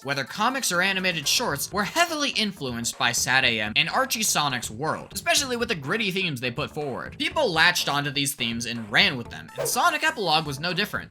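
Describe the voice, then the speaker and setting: A woman's voice: lowish voice, pushed and bright. A woman hosting a scripted comedic video essay in a theatrical, sarcastic, mock-grandiose manner.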